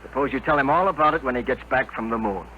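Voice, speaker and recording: A man's voice: medium pitch, quick pace, natural voice. Tough sardonic ground-control man, muffled radio, acted by a man. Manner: curt, slangy. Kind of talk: 1950s radio drama acting. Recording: muffled, noisy old radio broadcast.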